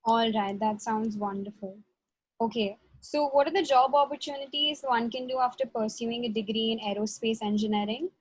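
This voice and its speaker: A woman hosts an interview with short, encouraging replies before her next question. Her voice is somewhat husky and sits fairly high. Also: little pitch movement, normal speed, rough recording. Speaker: interviewer, host.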